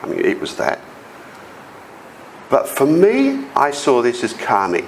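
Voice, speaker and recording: A man's voice: medium pitch, a little slow, creaky, slight background noise, low-quality recording. A middle-aged man with an Australian accent and a big voice, telling personal stories as a conference speaker and acting out the voices of the people he quotes. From South Australia. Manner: animated storytelling, acts out quoted voices. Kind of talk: storytelling presentation. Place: conference hall.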